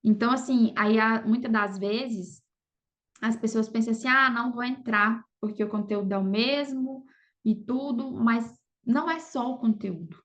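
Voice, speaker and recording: Brazilian woman, higher-pitched voice, casual interview guest, conversational, frequent tag questions, slightly muffled lo-fi recording. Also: normal speed. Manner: conversational, frequent "sabe" and "entendeu" tags. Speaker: podcast guest, affiliate marketer.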